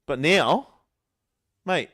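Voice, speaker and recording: Animated Australian man, emphatic and slangy. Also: fairly high pitch, extremely slow. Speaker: podcast co-host. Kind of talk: casual, opinionated chat.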